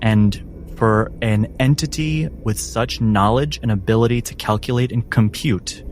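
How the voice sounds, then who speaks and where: A man acting as game master, narrating a tabletop roleplaying game dramatically in deliberate, measured phrases. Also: medium pitch, slight background noise.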